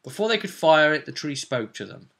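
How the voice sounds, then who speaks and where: A man's voice: on the high side, big pitch swings, brisk, creaky, a bit bright. A man giving a casual, rambling recap of a tabletop role-playing session as the game master.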